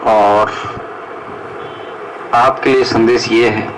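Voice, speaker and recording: A man delivers a formal, deliberate message in a flat voice. He speaks very slowly and with measured wording. It is a replayed audio recording with faint noise behind it. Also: on the low side, rough recording. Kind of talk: deliberate spoken warning message.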